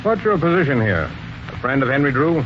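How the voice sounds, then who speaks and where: An actor playing a brisk, suspicious, no-nonsense police sergeant in 1940s radio mystery style, with a businesslike, probing manner. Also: male voice, fairly high pitch, big pitch swings, music in the background. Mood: commanding, brisk. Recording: noisy dull 1940s radio recording.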